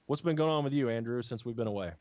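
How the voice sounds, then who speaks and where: A man's voice: medium pitch, very fast, darkish tone, dull audio. A man hosting a casual podcast chat, rambling with many fillers and restarts. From United States. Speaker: podcast host.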